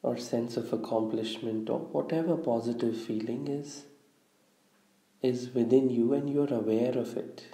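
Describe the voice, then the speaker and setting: A man with a very soft, dark voice leads a guided meditation. He speaks slowly and deliberately, leaving long pauses between phrases. The sound is roomy, with a noticeable echo. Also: medium pitch. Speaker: guided visualization leader. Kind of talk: guided meditation, slow instructional narration. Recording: roomy, echoing room sound.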